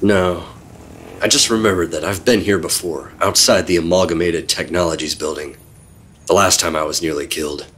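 An actor playing a secretive, world-weary 1950s government agent, terse and deadpan, narrating an old-time radio drama. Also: male voice, low voice, moderate pace. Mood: guarded, serious. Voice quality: husky. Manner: terse, deadpan, hard-boiled.

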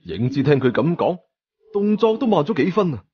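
A man's voice: on the high side, fairly quick, slightly rough, lo-fi sound. A man with a Hong Kong Cantonese accent narrating a story dramatically, acting out the dialogue as he goes. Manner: animated, acts out dialogue.

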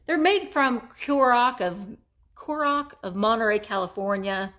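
A woman in her forties talks casually about vintage finds, rambling and correcting herself as she goes. Her voice sits a little high. She records on a phone held close inside an antique shop. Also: a bit bright. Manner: chatty and rambling, many fillers and self-corrections. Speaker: vintage reseller and vlogger. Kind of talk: casual haul vlog. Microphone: phone camera held close.

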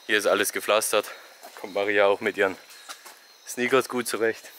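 A man's voice: lowish voice, normal speed, a little hiss or hum. A young man vlogging while he walks through a rainforest park, filming himself at arm's length and casually giving travel tips. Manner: relaxed, chatty, giving tips. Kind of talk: casual walking vlog commentary. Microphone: selfie camera at arm's length.

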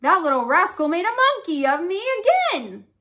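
A woman's voice: very high voice, sing-song, normal speed, pushed and bright, pitched above the performer's own voice, exaggerated voice. A woman voicing a growling, grumbling bully of a male lion in a storybook tale. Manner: growling, roaring, snarling.

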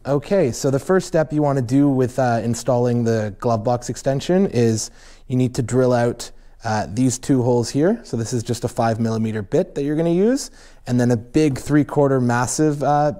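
Man, young adult, mellow, mid-pitched, casual install walkthrough, garage workshop. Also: normal speed. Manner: relaxed, plain-spoken, uses many fillers. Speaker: installer demonstrating a snowmobile accessory.

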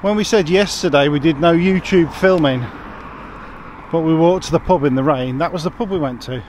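A middle-aged English man rambles cheerfully into a camera held at arm's length, his voice sitting a little higher than most men's. He is outdoors in town streets and a garden, and a faint hum of traffic and surroundings runs behind him. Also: normal speed, darkish tone. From England. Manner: chatty, jokey, rambling with restarts. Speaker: vlogger. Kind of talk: casual travel vlog chat.

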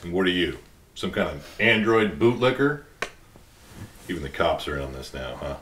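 A man's voice: deep voice, lively intonation, moderate pace, a bit bright. A man acting a hostile, confrontational protester in a scripted drama read. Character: hostile, sneering male anti-android protester. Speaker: actor reading a protester at a table read. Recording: roomy, far from mic, faint noise.

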